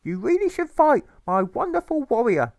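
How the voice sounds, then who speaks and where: A man's voice: very high voice, big pitch swings, moderate pace, vocal fry, darkish tone, no room sound, studio quality, pitched above the performer's own voice, exaggerated voice. A man voicing an overwrought, nagging mother, histrionic and repeating phrases in wails, in a storybook reading. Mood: pleading, insistent. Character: shrill, overwrought, nagging mother, fussing and guilt-tripping. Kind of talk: theatrical rhyming audiobook.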